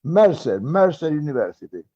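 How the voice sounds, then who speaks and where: This is a man of around ninety with an Argentine Spanish accent. His voice sits a little high for a man, and it comes through muffled and dull, as if over a remote line. He talks in a halting way, full of pauses and fillers, and chuckles often. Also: sing-song, mellow. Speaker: guest, retired medical professor. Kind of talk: conversational interview.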